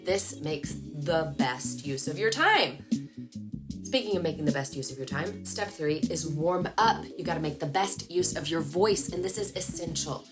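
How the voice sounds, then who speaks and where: A woman, giving a lively, chatty voice-over tutorial to camera from a small room at home. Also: sits low, normal speed, music in the background. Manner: lively, chatty, animated hand gestures. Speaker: voice-over coach.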